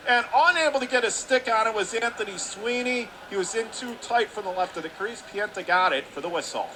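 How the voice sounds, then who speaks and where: A man in a broadcast booth calling a hockey game play by play, with rapid, clipped calls that climb higher on shots and saves. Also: lively intonation, pushed and bright, a little hiss or hum, low-quality recording. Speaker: radio play-by-play announcer. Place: broadcast booth above an ice rink.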